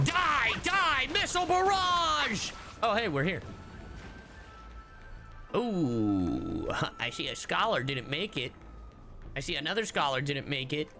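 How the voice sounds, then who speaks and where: A man's voice: high voice, very animated, slow, pauses often, sharp tone. A man streaming a game live, commenting playfully and theatrically, often exclaiming and repeating himself. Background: game music.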